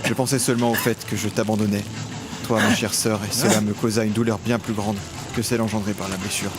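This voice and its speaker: A man's voice: lowish voice, flat delivery, quick pace, noisy background, lightly acted. An actor playing a tormented criminal who tells his own story in a solemn, literary way in an audio drama. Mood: grave, tormented. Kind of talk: scripted audio drama narration and dialogue.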